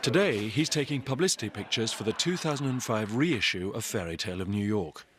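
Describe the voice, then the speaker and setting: A man's voice: on the low side, lively intonation, a little fast, crowd noise. A man reading scripted narration as the narrator of a documentary.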